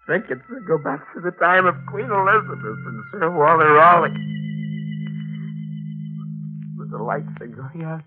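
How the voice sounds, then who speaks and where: Casting: male actor playing haunted doomed man, slightly high voice, 1940s radio thriller narration, tinny sound. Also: lively intonation, fairly quick, close to an everyday voice. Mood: weak, despairing. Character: doomed treasure-hunting man trapped in a tomb, weakening. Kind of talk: 1940s radio drama first-person narration. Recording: tinny, band-limited 1940s radio, music behind.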